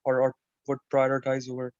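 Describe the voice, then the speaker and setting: Mellow, flat-voiced male investor. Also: mid-range voice, fairly quick, clean tone, no room sound. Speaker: investor panelist. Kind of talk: asking questions on an online panel. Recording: dry dull call audio.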